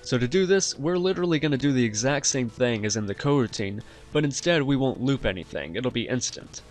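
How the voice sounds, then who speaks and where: A man hosting a software tutorial, explaining each step in an instructional way. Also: mid-range voice, expressive, brisk. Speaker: tutorial host. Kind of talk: software tutorial narration over screen recording.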